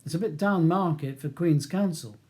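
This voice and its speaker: A man plays a barrister in an audiobook, courteous and composed. His mellow, fairly high male voice is measured, professional and persuasive. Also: lightly acted. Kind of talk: audiobook character dialogue.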